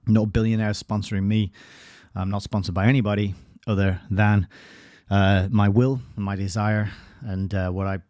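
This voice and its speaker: A man's voice: lowish voice, little pitch movement, normal speed, darkish tone, dry recording. A man hosting his own live-streamed commentary show, talking in a rambling, conversational way with wry asides, close to a desk microphone.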